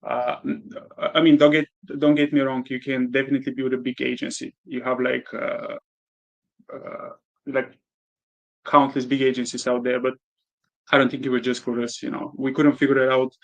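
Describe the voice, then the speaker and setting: A man from Bulgaria answering interview questions candidly, with frequent pauses and fillers. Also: mid-range voice, flat delivery, lo-fi sound. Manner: candid, hesitant with many "uh"s. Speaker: guest, e-commerce entrepreneur.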